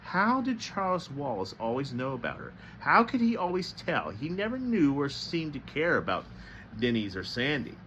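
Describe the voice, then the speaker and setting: Bright-voiced man reading a novel aloud. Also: on the high side, huge pitch swings, moderate pace, slight background noise, rough recording. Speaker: storybook reader.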